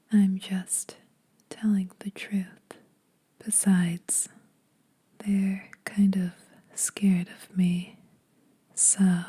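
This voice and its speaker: A woman voicing a teasing, predatory yet protective female vampire in a breathy whispered ASMR roleplay, speaking slowly and a little formally. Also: medium pitch, dark tone, heavily acted. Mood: cool warning. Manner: slow, deliberate, faintly formal teasing.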